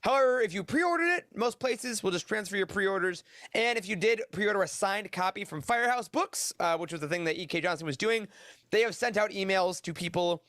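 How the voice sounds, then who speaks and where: A man with a notably high voice hosts a casual news segment. He is chatty, upbeat and quick to joke. The sound is dry, with little room around him. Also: lively intonation, fairly bright tone, no room sound. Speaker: podcast host. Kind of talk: casual news roundup chat.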